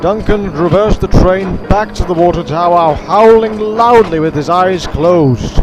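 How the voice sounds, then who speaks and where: A man's voice: high-pitched, measured pace, poor audio. A man reading scripted, dramatic narration as the storyteller of a children's audio drama.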